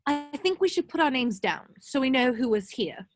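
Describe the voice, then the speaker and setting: A woman's voice: high-pitched, lively intonation, moderate pace. A woman playing an earnest, bossy, bookish teenage schoolgirl in an amateur table read. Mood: brisk, organizing.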